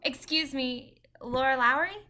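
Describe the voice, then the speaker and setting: A woman's voice: high-pitched, a little slow, bright, forceful tone. A woman playing a starstruck, modest young female film director in a staged play reading, gushing and a little hesitant. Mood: starstruck, eager.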